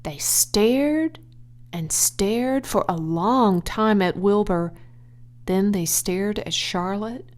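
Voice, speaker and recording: Unhurried woman reading a children's story. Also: medium pitch, darkish tone. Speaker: audiobook reader. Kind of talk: expressive children's story narration.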